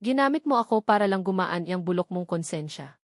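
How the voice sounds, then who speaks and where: A woman's voice: on the low side, animated, fairly quick, no room sound, clean studio sound. A woman voicing an anguished, betrayed young woman in an audio drama, trembling and accusing. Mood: furious, tearful. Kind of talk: Tagalog audio drama dialogue.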